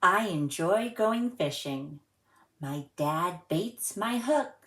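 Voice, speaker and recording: A woman reads a picture book aloud for young children. Her voice sits a little low and moves at a very slow, careful pace, with expressive storytelling delivery. The room adds a clear echo around her words. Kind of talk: children's read-aloud storytelling and friendly presenting to young viewers.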